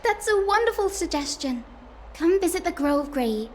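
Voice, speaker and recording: An actress playing a sweet, friendly, eager young girl in a video game, with a gentle manner. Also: very high voice, big pitch swings, normal speed, a little hiss or hum. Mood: cheerful, eager. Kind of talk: scripted video game dialogue.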